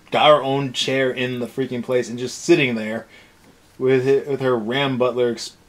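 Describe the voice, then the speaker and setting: A man co-hosting a casual anime review rant, talking in a rambling, sarcastic way. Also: mid-range voice. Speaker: co-host of an anime review show. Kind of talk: casual ranting review chat.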